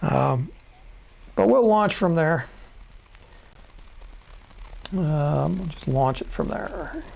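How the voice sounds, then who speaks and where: A middle-aged man from Chicago talks through a screen-share demo at his desk mic. His voice is mellow and gravelly. He rambles, corrects himself, and chuckles at his own slips. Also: on the high side, lively intonation, extremely slow, long pauses, low-quality recording. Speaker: rocketry software presenter.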